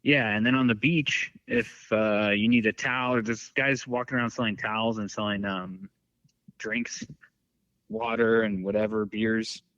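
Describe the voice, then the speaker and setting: A man's voice: lowish voice, fairly even intonation, moderate pace, fairly bright tone, no echo. Man rambling in dull, dry lo-fi audio. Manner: rambling, filler-heavy storytelling. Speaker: podcast guest. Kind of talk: casual chat.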